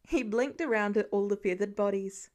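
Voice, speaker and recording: A woman's voice: medium pitch, moderate pace, a little mellow. A woman reading scripted fiction aloud as an audiobook narrator.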